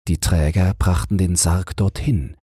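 A man's voice: low-pitched, steady tone, a little slow, warm tone, husky, no room sound, studio quality. A man reading a scripted bedtime fairy tale as an audiobook narrator.

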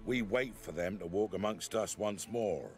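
A man's voice: lowish voice, normal speed, soft background music, lightly acted. A man playing a solemn, devout peasant elder in a fantasy game, speaking in archaic rustic phrases. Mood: reverent. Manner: archaic, reverent rustic speech. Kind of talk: fantasy video game acting.

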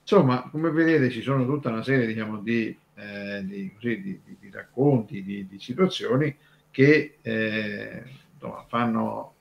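Low, creaky-voiced man talking haltingly. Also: highs cut off. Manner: halting, digressive, keeps rephrasing. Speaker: radio show host. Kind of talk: casual talk about old radio history.

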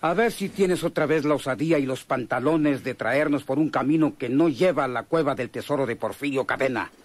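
Un actor que interpreta a un jefe de maleantes mexicano, cruel, burlón y amenazante, en una radionovela. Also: male voice, mid-range voice, brisk, natural voice. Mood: threatening. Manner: taunting, threatening. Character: menacing, mocking male gang boss, cruel. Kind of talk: Mexican radio drama acting.

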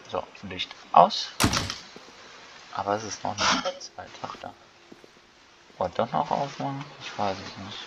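A man's voice: medium pitch, gravelly, muffled recording. A man giving casual let's play commentary, thinking aloud in colloquial speech. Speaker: gaming video creator. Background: game music.

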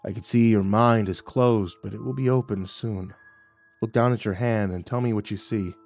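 A man voicing a patient, unconcerned shaman, a dryly teasing mystic, with calm, unhurried delivery in an audiobook. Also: fairly low pitch, soft background music, natural voice. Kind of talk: audiobook character dialogue.

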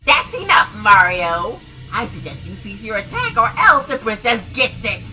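A woman's voice: medium pitch, very animated, normal speed. A woman voicing a scheming, snide turtle wizard in a cartoon fan dub, taunting and theatrical, with music behind. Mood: smug threatening.

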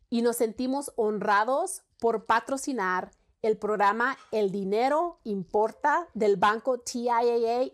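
A woman speaks to camera as a spokesperson. Her voice is fairly high, and she delivers a prepared welcome. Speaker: bank spokesperson. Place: home office, indoors.

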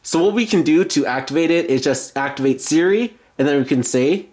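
A man's voice: a little high, animated, a little fast, a little mellow. A man giving an informal, explanatory product demo as a tech reviewer.

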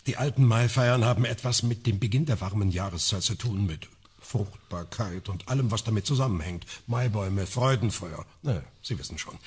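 Learned clergyman, very husky, animated melody, pedantic, teasing, radio crime drama. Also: medium pitch. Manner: pedantic, wry, lecturing in elevated wording.

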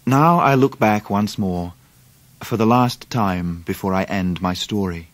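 An actor playing a gentle, devoted English gentleman recalling his life in a radio drama, with measured literary phrasing. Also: on the low side, no room sound, natural voice. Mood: reflective, tender. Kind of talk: 1990s radio drama narration.

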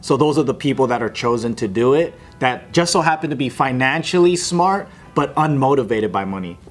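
A man's voice: medium pitch, animated, brisk, soft background music. A man in casual group banter at a studio table, talking bluntly and swearing freely. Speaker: podcast commentator.

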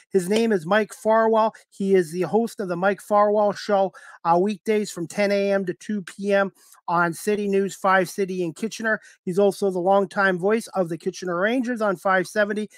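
A man's voice: sits high, dry recording, clean studio sound. A middle-aged man, hosting a talk show over a headset on a video call. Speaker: sports talk show host.

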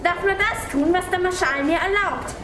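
A frustrated girl soldier who passes as a boy played by a woman speaks slowly and dramatically in a stage music drama. The voice is high. It sounds distant in an echoey, noisy room. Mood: bitter. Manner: slow, dramatic delivery. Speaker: performer in a student music theatre piece. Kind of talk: experimental opera stage declamation.

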